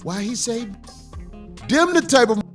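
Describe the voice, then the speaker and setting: A man ranting in a played video, animated and profane. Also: very high voice, a little slow. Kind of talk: opinion commentary. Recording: faint music under the voice.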